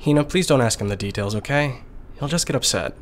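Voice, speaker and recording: An earnest, protective crewmate played by a man tries to keep the peace in a medium-pitched voice. It is sci-fi audio drama acting over faint ambience. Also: expressive, quick pace, a little hiss or hum, lightly acted. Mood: concerned, earnest. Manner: conciliatory, earnest.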